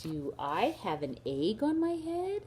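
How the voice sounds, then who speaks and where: A woman's voice: mid-range voice, huge pitch swings, slow, a little mellow, slight background noise. A woman voicing an anxious, easily flustered male elephant from a picture book, with hesitant, stammering delivery. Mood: worried.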